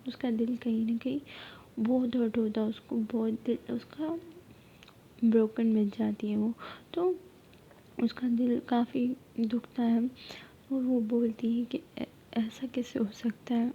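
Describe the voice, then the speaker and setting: A woman retelling a story in a soft, steady whisper. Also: fairly high pitch, long pauses, narrow, tinny audio, a little hiss or hum.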